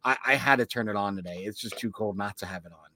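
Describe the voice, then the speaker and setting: A man's voice: fairly low pitch, fast, sharp tone. A man from Canada co-hosting a casual podcast, rambling and enthusiastic, still worn out from being sick. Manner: rambling, enthusiastic, many fillers. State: tired, recovering from illness. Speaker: podcast co-host.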